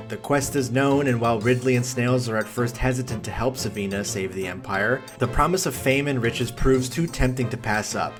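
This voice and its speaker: A young man narrating a sarcastic film review, full of mocking asides and swearing. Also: medium pitch. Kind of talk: sarcastic movie review commentary.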